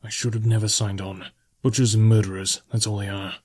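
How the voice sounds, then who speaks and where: A man's voice: lowish voice, flat delivery, brisk, a little mellow, lightly acted. A man voicing a weary, guarded mercenary in a fantasy audiobook, with a dry, brooding way of muttering. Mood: bitter. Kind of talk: fantasy audiobook character dialogue.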